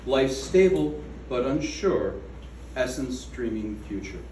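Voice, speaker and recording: A man reading his own poem aloud at a gallery event, slow and measured, with falling line endings. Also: mid-range voice, expressive, warm tone, a little hiss or hum. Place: art gallery room. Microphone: away from the microphone. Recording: echoey room from a distance.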